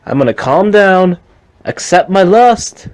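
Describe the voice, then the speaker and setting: A man playing a calm, wise Jedi mentor who lectures his students earnestly in an amateur fan skit. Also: high-pitched, takes it slowly. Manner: instructive, earnest, preachy.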